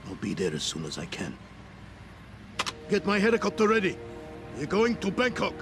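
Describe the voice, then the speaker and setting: An actor playing a menacing, cruel villain boss in a video game cutscene. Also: male voice, high-pitched, huge pitch swings, moderate pace, over music. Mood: cruel, threatening. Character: menacing villain boss, cruel, gloating, desperate when cornered.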